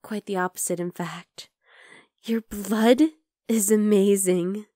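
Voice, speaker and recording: A woman's voice: mid-range voice, steady tone, slow, no echo, clean studio sound, close to an everyday voice. A woman playing an obsessive, chatty vampire lab worker in an ASMR roleplay, coaxing and teasing the listener. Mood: coy, chatty. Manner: conversational, teasing, coaxing, with soft asides.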